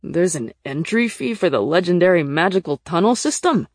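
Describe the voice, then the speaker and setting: A woman's voice: deep voice, animated, moderate pace, vocal fry, a little mellow, husky, no room sound, clean studio sound, heavily acted. A woman voicing a blunt, skeptical, impatient male companion in an audiobook.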